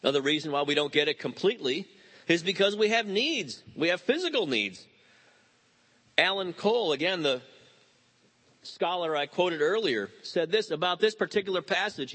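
A man in his fifties preaching a sermon, animated and telling stories with quoted voices. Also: high-pitched. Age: 50s. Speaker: pastor.

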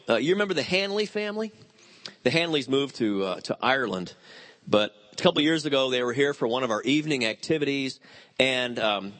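A man in his fifties preaching a sermon, animated and telling stories with quoted voices. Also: medium pitch. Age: 50s. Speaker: pastor.

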